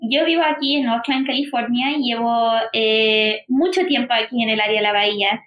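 A woman with a Chilean accent, co-hosting a podcast and speaking in a thoughtful, earnest way, often pausing to find her words. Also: a little high, muffled recording. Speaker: podcast co-host. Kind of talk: casual podcast talk. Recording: roomy echoing room.

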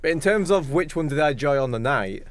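A man's voice: a little high, expressive, fast talker. A man co-hosting a podcast, giving his opinions on films in casual conversation. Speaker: podcast co-host. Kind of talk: casual film discussion.

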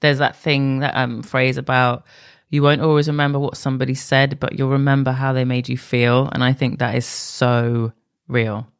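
A woman's voice: rumbling low, little pitch movement, moderate pace, clean tone. A woman hosting her own podcast, talking alone in a candid, conversational way that sometimes drifts off track. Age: adult. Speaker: podcast host. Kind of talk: solo podcast monologue.

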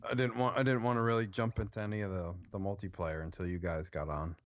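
A man co-hosting a casual podcast chat, rambling through his story with pauses and restarts. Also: fairly low pitch, steady tone, highs cut off. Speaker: podcast co-host.

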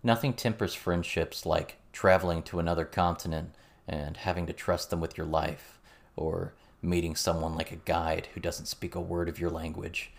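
Man playing a grave, deep-voiced weary soldier. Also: steady tone, vocal fry. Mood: reflective. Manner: measured, matter-of-fact. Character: calm, weary male soldier, measured and grave.

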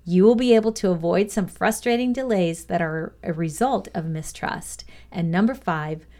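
A woman with a fairly low voice narrates an educational script. She reads aloud in a measured, deliberate manner, placing each phrase with care. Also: warm tone. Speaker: reader of a values lesson.

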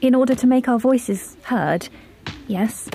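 A woman playing a thoughtful, conciliatory bird in an audio drama, speaking with deliberate hesitation. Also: fairly high pitch, warm tone, a little hiss or hum. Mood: earnest, cautious. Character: measured, conciliatory, thoughtful female bird, a voice of caution.

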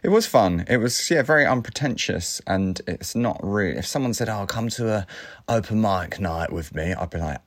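A man's voice: fairly low pitch, lively intonation, moderate pace. A man co-hosting a casual radio show, chatting in a rambling way and mimicking people he quotes. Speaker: co-host.

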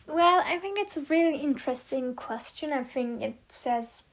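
Giggly, high-pitched teenage girl. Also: animated, moderate pace. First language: German. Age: teens. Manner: giggly, rambling, many fillers. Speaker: vlogger answering questions about herself. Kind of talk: casual chat.

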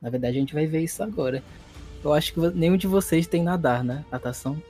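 A man's voice: on the high side, fast talker, mellow. Man, Brazilian accent, game master, dramatic narrator, casual referee, music underneath.

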